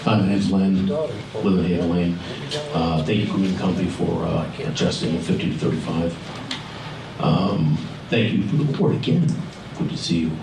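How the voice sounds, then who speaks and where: A man's voice: low voice, normal speed, creaky. A man giving a public comment at a town meeting, tentative and questioning, with many fillers. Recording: echoey hall with noise, distant mic.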